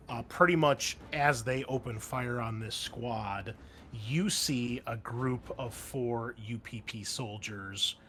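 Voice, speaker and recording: A man's voice: medium pitch, a bit bright. Male game master, conversational and encouraging, mid-range voice, faint music underneath. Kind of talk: narrating and refereeing a tabletop roleplaying game.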